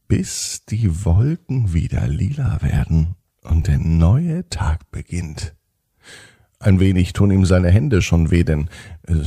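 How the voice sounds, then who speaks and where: A man with a husky, gravelly voice gently narrating a children's bedtime story in a soothing manner. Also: sits low, dry recording, studio quality.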